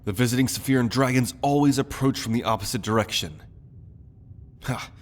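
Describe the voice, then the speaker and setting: A man's voice: medium pitch, brisk, lightly acted. Man playing an earnest, loyal young prince. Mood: eager, urgent. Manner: earnest, courteous. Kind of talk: fantasy audio roleplay acting.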